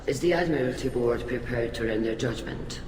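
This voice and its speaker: A measured alien admiral acted by a man presides in a video game drama. He is formal and ceremonious. His voice echoes with the long reverb of a large hall. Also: medium pitch, natural voice. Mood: solemn, formal.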